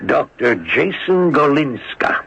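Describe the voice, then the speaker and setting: Through a dull, faintly noisy radio recording comes an ambitious American district attorney. He is suave and pushy, with creak in the voice and animated, wide-ranging pitch. His persuasion has a needling edge, in radio mystery style. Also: slow pace, full character voice. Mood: confident, persuasive. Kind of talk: radio mystery drama acting.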